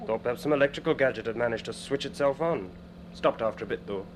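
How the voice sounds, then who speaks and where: A man's voice: medium pitch, fast, natural voice. An actor playing an obliging male railway luggage guard in a radio drama, chatty and folksy. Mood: chatty, helpful. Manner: colloquial, rambling, folksy. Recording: clear background noise, train hum.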